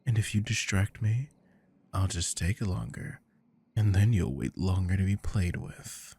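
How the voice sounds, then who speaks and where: A man acts out a dominant, possessive boss in an ASMR roleplay. His voice is very low and gravelly, husky at the edges. He coaxes and commands in a smooth, teasing murmur that slips toward whispers. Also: measured pace, dark tone, full character voice. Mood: smug, coaxing. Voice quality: deep, gravelly. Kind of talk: intimate ASMR roleplay to the listener.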